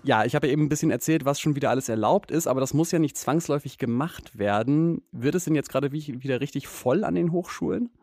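A man hosting a news podcast, presenting short items and interviewing a colleague in a calm, measured way. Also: medium pitch, no room sound, clean studio sound. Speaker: podcast host.

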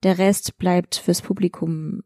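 A woman's voice: on the low side, steady tone, takes it slowly, darkish tone, no room sound, clean studio sound. A young woman, an actress and kung fu trainer, talking frankly and pragmatically as a podcast guest. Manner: frank, pragmatic, laughs often. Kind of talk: candid podcast conversation.

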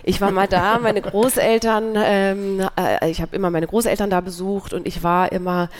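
A Swiss woman, an actress and writer, appearing as a guest on a live panel and telling lively anecdotes. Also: medium pitch, normal speed. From Switzerland. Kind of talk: casual live panel chat.

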